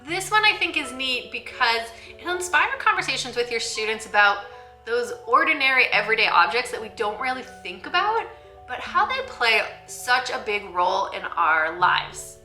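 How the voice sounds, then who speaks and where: Bright, higher-pitched woman presenting to viewers. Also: echoey room. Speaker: host of a picture book channel for teachers. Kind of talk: scripted-from-notes book review vlog addressed to viewers.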